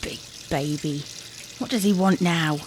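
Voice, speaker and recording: A woman's voice: fairly low pitch, a little slow, background noise, lightly acted. A woman playing a fussing, no-nonsense middle-aged wife in a domestic audio comedy, chiding and motherly in manner. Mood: exasperated.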